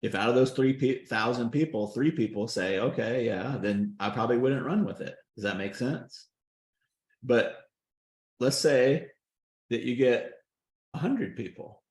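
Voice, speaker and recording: A man's voice: medium pitch, a little mellow, roomy sound. A man coaching on a group video call, folksy and emphatic, often checking that his point lands. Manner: emphatic, folksy, repeats "does that make sense". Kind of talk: casual coaching talk.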